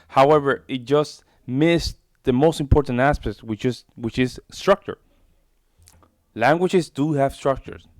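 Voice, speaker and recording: Brief: mid-pitched male reaction host, loose and chatty, dry booth-like sound. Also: no room sound. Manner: chatty, rambling, repeats himself, informal "bro". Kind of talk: casual reaction commentary.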